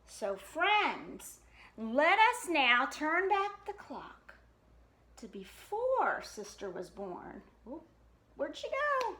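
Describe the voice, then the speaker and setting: A woman reading a children's picture book aloud in an expressive, sing-song way, pausing for chatty questions to young listeners. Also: sits high, reverberant. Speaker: storytime reader.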